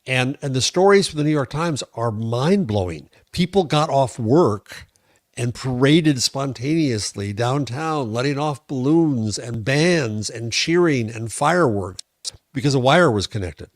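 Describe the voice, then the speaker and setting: An American man co-hosting a tech podcast, talking conversationally in an opinionated, deliberate and emphatic way. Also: medium pitch, expressive, no room sound, studio quality. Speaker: podcast co-host and commentator.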